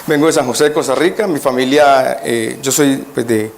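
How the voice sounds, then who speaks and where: A man's voice: mid-range voice, a little slow, creaky, a little hiss or hum, poor audio. A man preaching as a guest in a church, at first nervous, then emphatic, often putting questions to the congregation. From Colombia. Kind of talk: church sermon.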